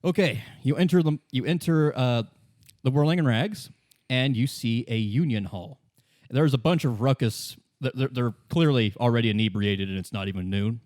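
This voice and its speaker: A man narrating an improvised tabletop game as game master, halting and informal. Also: medium pitch, darkish tone.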